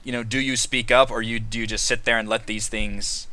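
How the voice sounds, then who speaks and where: A man's voice: medium pitch, flat delivery, brisk, sharp tone, dry recording. A man hosting a casual roundtable discussion, explaining things in an informal way with frequent asides. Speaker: host of a tabletop RPG discussion.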